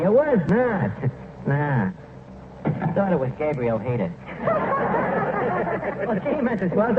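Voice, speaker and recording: An actor playing a boastful, wisecracking tavern manager with a New York accent and slangy, hesitant delivery in a 1940s radio comedy. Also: male voice, sits high. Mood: flustered. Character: boastful, wisecracking tavern manager who mangles his grammar. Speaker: tavern manager and comic lead. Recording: noisy, tinny 1940s radio recording, roomy.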